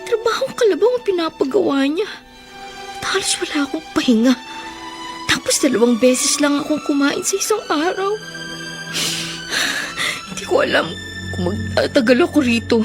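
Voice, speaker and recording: High plaintive Filipina maid. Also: female voice, big pitch swings, over music. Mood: tearful despair. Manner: plaintive, halting, tearful. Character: suffering, determined young mother working abroad as maid. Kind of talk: Filipino radio drama acting.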